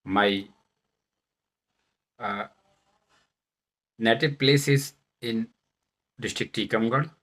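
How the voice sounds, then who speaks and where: Slow, deliberate Indian man introducing himself. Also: medium pitch, a bit bright. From Madhya Pradesh, India. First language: Bundelkhandi. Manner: deliberate, halting phrasing with stressed words. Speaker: vlogger, English learner turned presenter. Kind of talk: self-introduction talk to camera.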